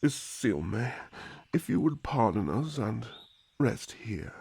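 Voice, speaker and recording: A man playing an older elf High King in a fantasy ASMR roleplay, calm, formal and soothing, often dropping to a whisper. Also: takes it slowly, creaky, breathy edge, dry recording, full character voice. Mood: gentle, apologetic. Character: regal elf High King, calm, kindly, protective father.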